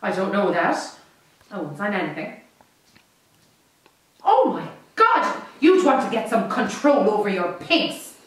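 Defiant Irish wife, very echoey room. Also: female voice, medium pitch, big pitch swings, unhurried, long pauses, distant mic. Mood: indignant. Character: sharp, defiant, wry young Irish wife in 1916. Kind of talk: radio drama acting. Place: recording studio, standing at microphone.

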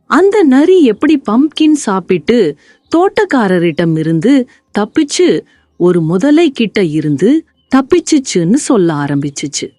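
A woman narrating a scripted children's story in an expressive, deliberate way. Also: medium pitch, no room sound, studio quality.

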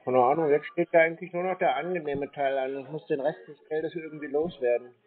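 A man on a phone call answering in a dry, laconic, deadpan way. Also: a little high, fast, faint music behind. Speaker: prank call recipient. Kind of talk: phone conversation. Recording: tinny phone-like sound.

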